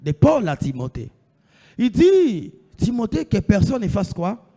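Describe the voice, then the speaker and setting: A man's voice: high-pitched, huge pitch swings, measured pace, gravelly, dark tone, in a large hall. A man in his thirties preaching a sermon, asking the congregation questions and answering them himself, repeating his phrases. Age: 30s.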